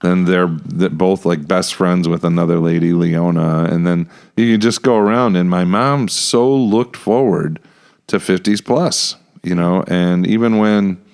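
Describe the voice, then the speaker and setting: An American man in his fifties chatting casually as a podcast host. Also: deep voice. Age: 50s. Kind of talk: casual conversation.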